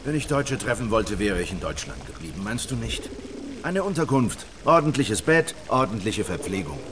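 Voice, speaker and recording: An actor playing a wary German traveller in a radio drama, speaking in a dry, terse manner. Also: male voice, on the low side, very animated, normal speed, background noise. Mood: dismissive, terse. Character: curt, wary German traveller seeking discreet lodging.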